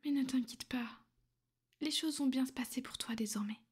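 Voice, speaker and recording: A woman voicing a soothing vampire noblewoman who speaks gently and often in a hushed whisper. Her voice sits high and soft. She plays it as an intimate ASMR roleplay, caring on the surface but possessive underneath. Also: normal speed, breathy edge.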